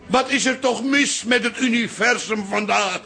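Casting: agitated older barkeep, superstitious and folksy, high bright voice, radio comedy. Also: male voice, moderate pace, vocal fry, soft background music, heavily acted. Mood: agitated, spooked. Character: agitated, superstitious older male café owner and father. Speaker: actor in a radio drama. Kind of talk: Dutch radio comedy drama acting.

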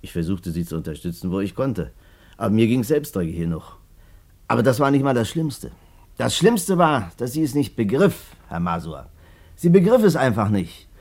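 A man's voice: mid-range voice, big pitch swings, normal speed, darkish tone, breathy edge. An actor playing a world-weary, bitter ruined businessman in a radio drama, theatrical and sardonic. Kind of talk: radio drama narration and dialogue.

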